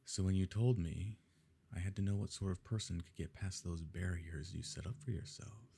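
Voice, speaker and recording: A man playing a smug, wealthy evil nobleman in an intimate ASMR roleplay, suave and condescending, with a gravelly, husky voice. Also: low-pitched, steady tone, moderate pace, mellow, exaggerated voice. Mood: sly, self-satisfied. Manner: suave, condescending, leisurely formal phrasing.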